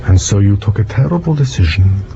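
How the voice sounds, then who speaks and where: An actor playing a shrewd, self-assured detective in an audio drama, speaking in a deliberate, mock-courteous, accusing manner. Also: male voice, lowish voice, expressive, vocal fry, dark tone, lo-fi sound, faint music behind. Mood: grave, accusing. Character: shrewd male detective exposing a murderess, theatrical, self-assured.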